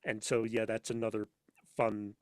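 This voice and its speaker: A man chatting casually as a podcast guest, speaking haltingly and ramblingly with emphatic, theatrical flourishes. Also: on the low side, flat delivery, clear, resonant tone, no echo, studio quality. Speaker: podcast guest, audio drama voice actor and sound editor. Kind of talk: casual interview chat.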